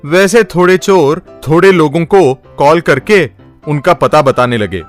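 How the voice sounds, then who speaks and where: A man's voice: fairly high pitch, a little slow, soft background music. A man narrating a scripted story as a storyteller.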